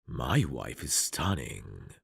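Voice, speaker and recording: A man acting a courteous, tender mafia bridegroom in an intimate ASMR romance roleplay, soft-spoken and flirtatious with a husky, gravelly voice. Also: extremely low pitch, sing-song, slow, no echo, studio quality, full character voice. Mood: seductive, teasing.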